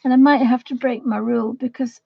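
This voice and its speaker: A woman with a croaky voice hosting a casual livestream while colouring, talking softly and rambling, often half-whispered. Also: on the high side, steady tone, fairly quick, dull audio, a little hiss or hum. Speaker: livestream host.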